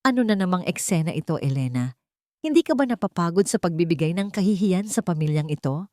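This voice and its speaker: A woman's voice: lowish voice, lively intonation, darkish tone, no echo, studio quality, close to an everyday voice. A woman voicing a haughty elderly mother-in-law in a narrated drama, commanding, cold and emphatic. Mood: cold contempt. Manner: slow, emphatic, authoritative.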